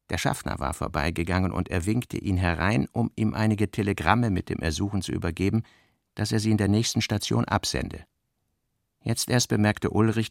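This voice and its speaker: Low, creaky male narrator. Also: no room sound, clean studio sound. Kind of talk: literary narration in a radio drama.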